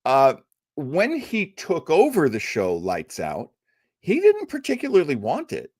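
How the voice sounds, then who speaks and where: A man's voice: fairly high pitch, huge pitch swings, unhurried, darkish tone, dry recording, clean studio sound. A man hosting an old-time radio show, chatting casually and enthusiastically with emphatic, rambling asides.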